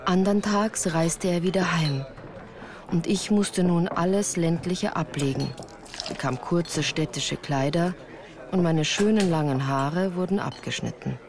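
An actress playing a grown woman who recounts a harsh childhood in measured, composed radio play narration. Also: fairly low pitch, crowd noise. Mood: measured, reflective. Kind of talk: literary first-person radio play narration.

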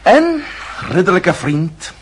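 A man's voice: sits high, sing-song, slow, slight background noise, heavily acted. An actor playing a mocking, self-assured nobleman in a period radio drama, speaking with ornate, icy politeness. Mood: sardonic, triumphant.

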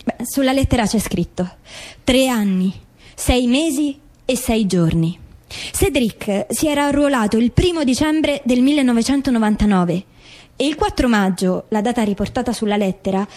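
An actress as a mother in a documentary drama, her voice fairly high. She is methodical and doubting, laying out each point in a measured, deliberate way. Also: expressive, darkish tone, close to an everyday voice. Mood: firm, doubting. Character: grieving mother methodically doubting her son's farewell letter. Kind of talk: scripted theatre dialogue, documentary drama.

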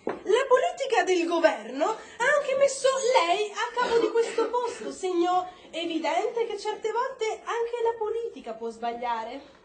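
An actress playing an icy, commanding government secretary in a radio play, speaking formally and cuttingly. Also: female voice, very high-pitched, sing-song, a little slow, a crowd in the background, exaggerated voice. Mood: stern, cutting. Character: icy, commanding American government secretary, woman, rebuking an officer. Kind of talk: stage-style radio drama acting. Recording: roomy echo, faint noise.

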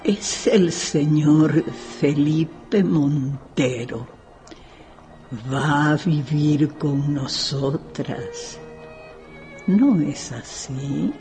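An actress playing an elderly, reclusive widow in a radio drama, imperious and insistent, speaking with slow deliberation. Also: female voice, deep voice, warm tone, full character voice. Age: older. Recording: music behind the voice.

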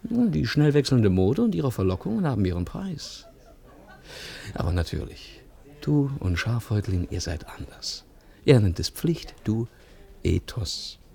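An actor playing a vain, condescending ladies' man in a radio play, flattering and patronizing in ornate phrases. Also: fairly low pitch, a little slow, full character voice. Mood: patronizing, teasing. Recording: radio drama with music clearly behind.

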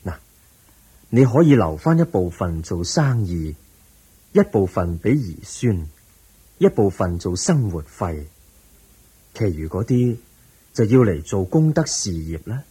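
A man acting a calm, patient, wise teacher in a radio drama, measured and gently instructive, with a Hong Kong Cantonese accent. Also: low voice, breathy edge, heavily acted, rough recording.

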